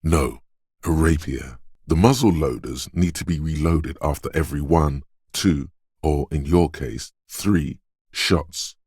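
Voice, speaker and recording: A man voicing a detective's gun-obsessed inner voice in a video game, speaking in a measured, deliberate, weighty way. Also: darkish tone, no echo, studio quality, full character voice. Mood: tense, urging. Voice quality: very deep, husky.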